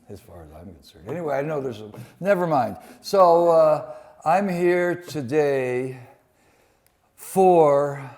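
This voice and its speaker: A man introducing poems at a live reading, talking informally in a halting, digressive way. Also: medium pitch, darkish tone. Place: museum event before an audience.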